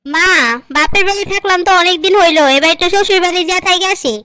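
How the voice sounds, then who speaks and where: Woman playing a squeaky-high, girlish Bangladeshi daughter. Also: steady tone, fast talker, pushed and bright, no echo. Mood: coaxing. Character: coaxing, pampered young married daughter. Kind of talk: comedy cartoon dialogue in colloquial dialect.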